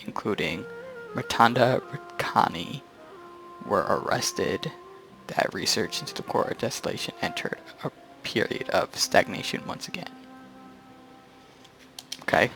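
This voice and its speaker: A man reading game text aloud as a gaming video creator, a bit halting, with casual asides. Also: fairly low pitch. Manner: halting read-aloud with stumbles. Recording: game music clearly behind. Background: video game music.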